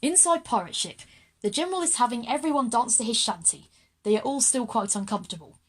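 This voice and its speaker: Woman narrator for a kids audio drama, highish voice, scripted storytelling. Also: lively intonation, fairly quick, husky.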